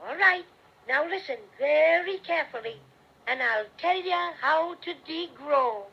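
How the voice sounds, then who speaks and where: A man's voice: extremely high pitch, animated, a little slow, slight background noise, heavily acted. An actor playing a small, cheerful male teddy bear with a slight Irish brogue in a vintage radio play. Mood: friendly. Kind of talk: 1930s radio play acting. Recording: dull lo-fi vintage radio recording.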